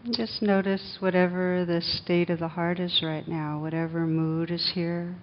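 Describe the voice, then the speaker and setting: A woman leading a guided meditation as a teacher, speaking gently and soothingly, with long unhurried pauses. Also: little pitch movement. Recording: dull muffled recording with faint rumbling noise.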